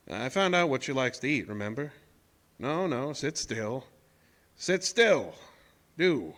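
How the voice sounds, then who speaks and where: A male actor plays a husband with a monstrous secret in a horror audio drama. He is slow and deliberate, uneasy yet coaxing, with menace in his manner. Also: mid-range voice, sharp tone. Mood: menacing. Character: nervous, sinister husband hiding his monstrous wife.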